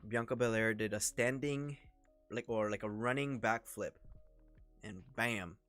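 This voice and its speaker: A man's voice: on the low side, unhurried, fairly bright tone, soft background music. A man hosting a casual livestream, talking in a rambling, chatty way with many fillers. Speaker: livestream host and scam baiter.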